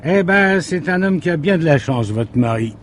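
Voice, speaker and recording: Casting: male actor for plain-spoken obliging driver, radio mystery, very lively pitch movement, colloquial musing, faint vehicle noise. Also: normal speed, lightly acted. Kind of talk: French radio mystery drama acting.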